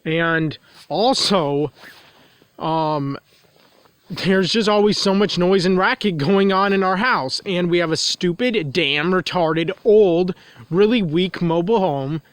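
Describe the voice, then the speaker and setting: A man's voice: high-pitched, slow, clear, resonant tone, no echo. A man with a nasal, congested voice, talking outdoors in the cold in a rambling vlog monologue that keeps circling back to restate itself. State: congested from a runny nose. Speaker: vlogger.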